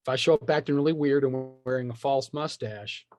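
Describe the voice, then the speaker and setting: A man's voice: mid-range voice, a little fast, darkish tone. A man chatting casually as a podcast guest, joking and slipping into hammy, mock-dramatic asides. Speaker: podcast guest, tabletop game creator.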